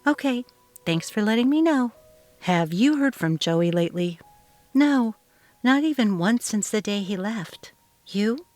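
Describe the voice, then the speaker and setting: A woman's voice: medium pitch, animated, a little slow, darkish tone, no echo, soft background music, voice pushed up, lightly acted. A woman voicing a worried middle-aged mother in a dramatized text-message story, with an emotional, anxious manner. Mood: concerned.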